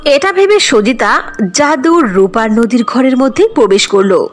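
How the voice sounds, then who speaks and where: Lady narrating a kids cartoon fairy tale, lively swoopy voice, soft music behind. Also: high voice, unhurried. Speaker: storyteller.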